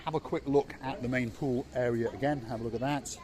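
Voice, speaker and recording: A man's voice: mid-range voice, fairly even intonation, fairly quick, darkish tone. A man hosting a casual walking-tour vlog, chatty and playful, teasing his companion as he films outdoors. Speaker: vlog host. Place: outdoor holiday resort. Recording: faint outdoor background noise.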